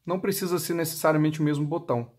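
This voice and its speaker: A man's voice: on the high side, steady tone, racing, darkish tone. Brazilian man giving a step-by-step tutorial. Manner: explanatory, asks and answers own questions. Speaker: product demonstrator for motorcycle intercom seller.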